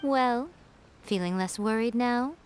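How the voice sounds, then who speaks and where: A woman's voice: fairly high pitch, takes it slowly, a little hiss or hum. A woman voicing a sly, self-assured fox shrine maiden in an anime video game, amused and knowing. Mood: scheming, confident.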